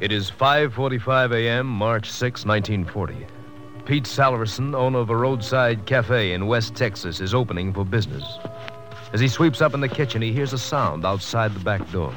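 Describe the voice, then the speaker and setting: Music plays under an American man narrating in 1950s radio style. His voice is medium in pitch, and the scripted delivery is crisp and factual. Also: moderate pace, fairly bright tone. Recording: old radio transcription.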